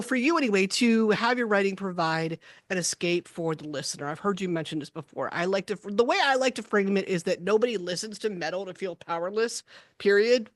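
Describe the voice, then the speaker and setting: A woman's voice: mid-range voice, no room sound. A woman host with a dry, close recording and a mid-range voice. She gushes and rambles a little as she asks her questions, and laughs often. Her tone is that of an eager fan in casual conversation. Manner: enthusiastic, giggly, rambling questions. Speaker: podcast host. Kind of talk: casual interview chat.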